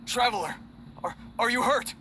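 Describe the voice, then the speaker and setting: An actor playing a beleaguered older merchant in a video game, timid and prone to stammering. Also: male voice, extremely high pitch, moderate pace, background noise. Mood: grateful. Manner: hesitant, stammering. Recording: game dialogue over background music.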